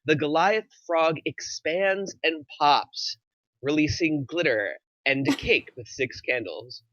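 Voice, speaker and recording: Bright, forceful male screenplay narrator. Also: on the high side, lively intonation, slow, dry recording. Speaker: narrator reading stage directions. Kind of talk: scripted narration at a table read. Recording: slightly dull, dry recording.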